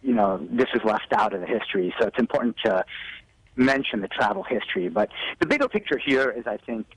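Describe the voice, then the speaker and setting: A man's voice: mid-range voice, lively intonation, a little fast, fairly bright tone, breathy edge, no echo, rough recording. A man speaking as a doctor in a live telephone news interview, explaining health advice and often saying "you know". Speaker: physician guest expert on a news segment. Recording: telephone interview audio on TV broadcast.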